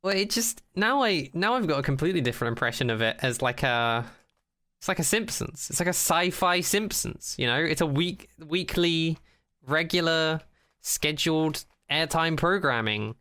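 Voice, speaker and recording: A man's voice: a little high, expressive, normal speed, pushed and bright. A man co-hosting a podcast in casual unscripted chat, skeptical and opinionated, often repeating and rephrasing as he goes. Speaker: podcast co-host.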